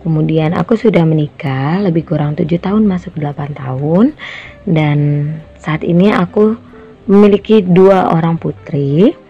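A woman's voice: fairly low pitch, normal speed, gravelly, slightly rough. A woman, giving a personal testimony. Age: 30s. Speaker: podcast volunteer sharing her views. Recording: dull, lo-fi voice message.

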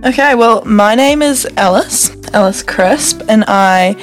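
A woman's voice: on the high side, measured pace, faint music behind, rough recording. Chatty young New Zealand woman. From Auckland, New Zealand. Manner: chatty, laughing, slangy ("bro", "mate"). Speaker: podcast co-host. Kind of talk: casual chat. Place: radio studio.

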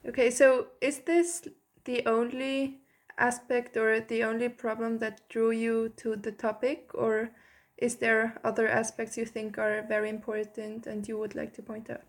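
A woman's voice: fairly high pitch, little pitch movement, unhurried. A woman hosting a podcast, putting prepared interview questions to a guest.

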